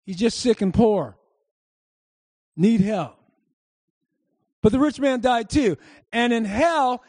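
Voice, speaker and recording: Male pastor, high voice, emphatic preacher who works the congregation with call-and-response, dry close sound. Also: a little mellow, no room sound. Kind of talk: sermon. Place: church service before a congregation.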